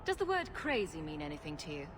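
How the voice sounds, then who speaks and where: Lively, direct female rogue champion. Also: low-pitched, quick pace, background noise. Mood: exasperated. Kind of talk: dramatic fantasy game acting.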